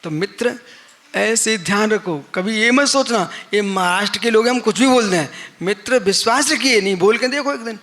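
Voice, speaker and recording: Middle-aged male preacher, talky sermon style, fairly high voice, quizzes the audience. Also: moderate pace, fairly bright tone. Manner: conversational, questions the audience, acts out quoted people. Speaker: Jain monk giving a discourse. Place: live assembly hall.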